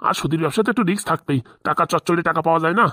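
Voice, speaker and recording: A man's voice: fairly high pitch, racing, bright, forceful tone, dry recording, studio quality. A man voicing a scheming, boastful elderly village father-in-law in a comedy cartoon, insistent and wheedling.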